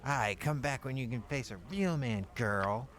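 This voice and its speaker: A man's voice: mid-range voice, animated, moderate pace, a bit bright, close to an everyday voice. A man voicing a mocking male tavern thug in a put-on English accent for a game. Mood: taunting. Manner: mocking, taunting.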